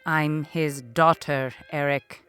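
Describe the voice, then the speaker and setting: A woman's voice: low voice, lively intonation, unhurried, faint music behind, exaggerated voice. A woman playing an imperious, spoiled rich heiress in a comic audio drama, with a melodramatic manner.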